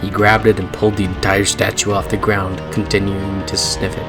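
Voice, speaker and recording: A man's voice: deep voice, completely flat delivery, moderate pace. A man giving a dramatic story reading, amused and stumbling over the text. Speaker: reader of fan fiction. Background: music.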